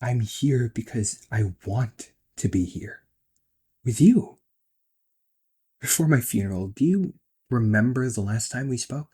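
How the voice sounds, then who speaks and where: A man playing a protective, exasperated ghost brother in an emotional amateur audio roleplay, speaking in a husky voice. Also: medium pitch, lively intonation, a little slow, long pauses. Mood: tender, wistful. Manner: emotional, swearing, swings from outbursts to soft confessions.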